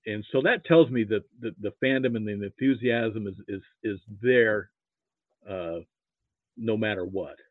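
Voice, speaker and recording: A middle-aged American man with a Midwestern accent, chatting casually as an interview guest in a halting, hesitant way. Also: lowish voice, no room sound. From rural Nebraska, United States. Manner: halting, hesitant delivery with repeated "you know". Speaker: guest, sports fan and archivist. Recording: muffled, narrow phone-like line.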